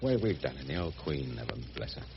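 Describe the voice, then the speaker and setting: Rough working-class English boatman, low-voiced. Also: big pitch swings, brisk, exaggerated voice. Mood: tender, chatty. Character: rough, good-hearted, excitable working man, a riverboat mechanic. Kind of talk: BBC radio drama acting. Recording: dull, noisy old radio recording.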